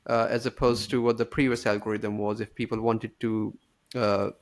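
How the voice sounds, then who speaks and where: A man's voice: on the low side, level tone, normal speed, dry recording, studio quality. A man hosting an interview, asking casual questions and often giving short agreement. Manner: brief backchannel agreement. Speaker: interview host.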